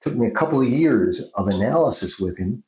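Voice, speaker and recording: An older man from Mexico with a Mexican accent, a Jungian analyst telling stories in an unhurried interview, often restarting his sentences. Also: medium pitch, expressive, a little mellow, echoey room. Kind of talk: storytelling interview answers.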